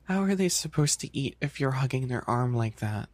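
A man's voice: medium pitch, expressive, normal speed, no echo, natural voice. A man voicing a bashful, fussy young animal-boy maid café server in an ASMR roleplay, stammering and pouty. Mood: embarrassed, scolding.

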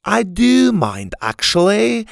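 Storybook role: crotchety old Scotsman acted by a man, sardonic and emphatic, higher register, animated delivery. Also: unhurried, creaky, no room sound, clean studio sound, exaggerated voice. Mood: grumpy, defiant. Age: older.

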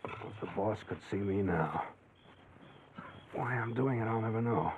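A tinny, noisy vintage radio recording carries a male actor playing a lowish-voiced, hard-boiled young man. He is brusque and slangy, a reluctant hero of a radio play. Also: unhurried, close to an everyday voice. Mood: wry, probing. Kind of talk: old-time radio drama acting.

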